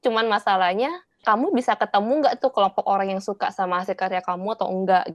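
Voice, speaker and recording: A woman's voice: mid-range voice, steady tone, fast talker, no echo. A young woman from Indonesia, chatting casually as a podcast guest, laughing often and mixing English words into her talk.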